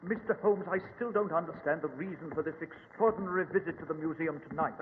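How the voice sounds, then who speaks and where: A man plays an earnest, officious museum director in a vintage radio play. He has a high voice and formal, emphatic phrasing, heard in a hollow, tinny old recording. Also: fast talker, big-room sound, a little hiss or hum, lightly acted. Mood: puzzled. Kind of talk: old-time radio drama acting.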